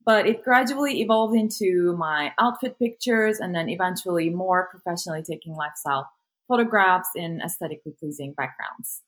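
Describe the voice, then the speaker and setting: A woman's voice: on the low side, fairly quick, fairly bright tone. A woman from Turkey, a native Turkish speaker, talking as a guest in a casual interview, hesitant and full of fillers. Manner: hesitant, many fillers, laughs easily. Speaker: guest, content creator.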